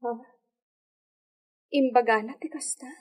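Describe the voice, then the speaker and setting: A woman's voice: a little high, fairly even intonation, takes it slowly, darkish tone. An actress with an Ilocano accent playing a weary, insecure expectant young lady of a hacienda in a radio drama, plaintive and fragile. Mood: weary, doubtful. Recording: music bed under the voice.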